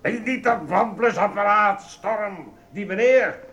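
An actor playing a pompous, exasperated supermarket manager in a radio comedy, bossy and patronisingly placating. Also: male voice, high-pitched, slow, fairly bright tone. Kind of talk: radio comedy drama acting.

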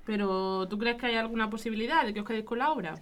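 A woman acts as an affectionate but evasive wife in a radio play. Her voice is smooth and fairly high, and her talk is homely and conversational. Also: fast, close to an everyday voice. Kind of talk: acted radio drama dialogue.

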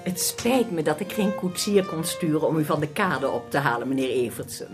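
A woman's voice: low-pitched, normal speed, music in the background. An actress playing a genteel, scheming widowed lady in a radio drama, speaking politely and formally. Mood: gracious, composed.

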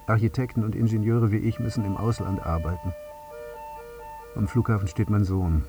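An actor playing a weary, grieving father who tells his story in short, deliberate sentences in a radio play monologue. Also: lowish voice, close to an everyday voice. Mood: somber. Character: grieving foreign architect father, weary and haunted. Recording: music behind the voice.

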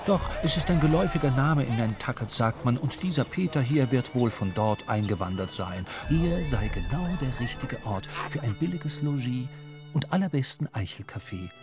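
A man's voice: mid-range voice, lively intonation, normal speed, natural voice. A man playing a wry, brooding seafarer who narrates his own adventure in a literary radio drama. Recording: clear music behind the voice.

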